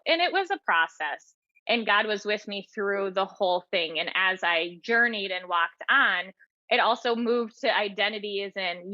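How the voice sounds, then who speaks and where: A woman's voice: medium pitch, pushed and bright, dry recording, highs cut off. A woman speaking as an interview guest, reflecting on her own experience in an earnest, explanatory way. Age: 30s or older. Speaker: podcast guest, author and teacher. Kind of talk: reflective personal interview talk.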